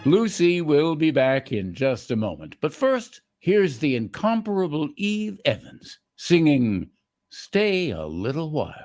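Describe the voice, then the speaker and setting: A man's voice: on the high side, wildly swooping pitch, measured pace, a little mellow, soft background music. A man working as a radio show announcer, in a 1940s announcing style.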